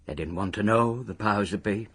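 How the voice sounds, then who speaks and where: An actor playing a small, obsessive, retired old removals packer in a radio monologue, husky and wordy, wistful and full of self-importance. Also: male voice, on the low side, fairly quick, a bit bright. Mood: nostalgic, aggrieved. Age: older. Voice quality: raspy, husky. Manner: wordy, self-important, working-class grammar with grand phrases.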